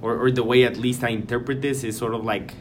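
A man's voice: mid-range voice, little pitch movement, quick pace. A man in a casual academic conversation, speaking hesitantly, repeating words and using many fillers. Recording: noisy background hiss.

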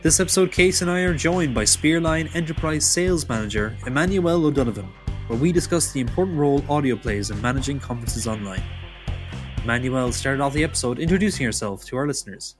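A man's voice: mid-range voice, expressive, quick pace, faint music behind. A man hosting a podcast, presenting the show and its guest. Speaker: podcast host.

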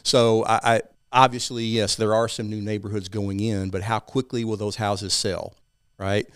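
A man's voice: lowish voice, little pitch movement, moderate pace, fairly bright tone, clear, resonant tone, no room sound, clean studio sound. A man from East Texas with an East Texas accent, a guest talking casually in an interview and often checking in with "right?".

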